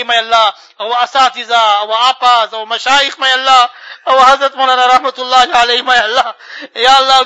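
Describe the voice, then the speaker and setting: Very high, bright-voiced man praying fervently. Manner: pleading, ends each plea with a refrain. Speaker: imam leading supplication. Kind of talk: fervent spoken prayer. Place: mosque.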